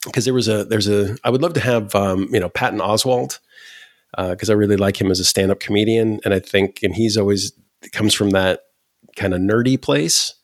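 A low-voiced man in his forties talks casually as a podcast host. His delivery is deadpan and halting, full of restarts. The recording is dry and close. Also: no echo. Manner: halting, many restarts and fillers. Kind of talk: casual conversation.

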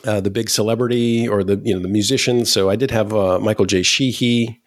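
A man in his forties, a podcast host, chatting casually in a deadpan way with halting restarts. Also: on the low side, no room sound, studio quality. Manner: halting, many restarts and fillers. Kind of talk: casual conversation.

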